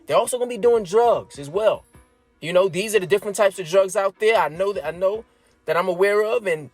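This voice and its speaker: A thirty-year-old man talks straight to his viewers in a fairly high voice, building his argument point by point and tagging it with quick check-ins. Soft music plays faintly underneath. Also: no room sound. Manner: emphatic, explains step by step, keeps asking "right?". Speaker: vlogger. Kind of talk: casual opinion monologue.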